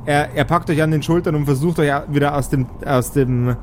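A man narrating an improvised tabletop game as game master, feeling his way haltingly through the story. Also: fairly high pitch, fairly even intonation, a little hiss or hum. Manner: hesitant, halting.

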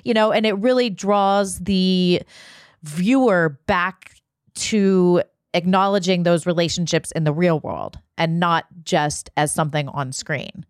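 A woman's voice: lowish voice, steady tone, a little slow, dry recording, clean studio sound. A woman co-hosting a podcast in casual, conversational chat. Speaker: podcast co-host.

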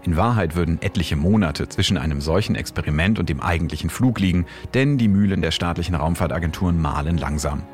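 A man hosting and narrating scripted science documentary segments in a measured, explanatory manner. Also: deep voice, lively intonation, darkish tone. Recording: clear music bed behind.

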